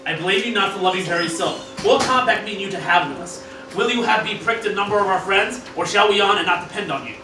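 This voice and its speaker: A man's voice: sits high, quick pace, over music, lightly acted. A man playing a brash, scheming, foul-mouthed cartoon grandfather in an amateur parody radio play, mixing blunt talk with theatrical speech. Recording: echoey room, distant mic, background noise.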